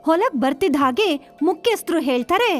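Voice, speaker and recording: A woman narrates a children's story from a script. Her voice is extremely high in pitch. Soft music plays behind her narration. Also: sing-song, fairly quick.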